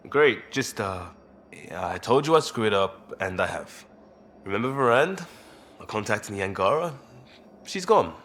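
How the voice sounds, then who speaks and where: A man playing an impulsive, earnest crewman in a video game, speaking in a halting, emphatic way. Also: medium pitch, a bit bright, a little hiss or hum. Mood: anxious. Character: impulsive crewman, earnest and defensive about blunders.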